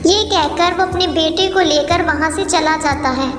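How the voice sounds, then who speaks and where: Female narrator for a children's bird tale; very high voice, long hall reverb over music. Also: fairly even intonation, moderate pace. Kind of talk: scripted children's story narration.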